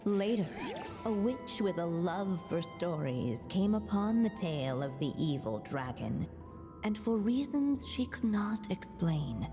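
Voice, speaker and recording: A woman voicing a storybook narrator who tells an old legend in a slow, measured, fairy-tale way. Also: lowish voice, big pitch swings. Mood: solemn. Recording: game music clearly underneath.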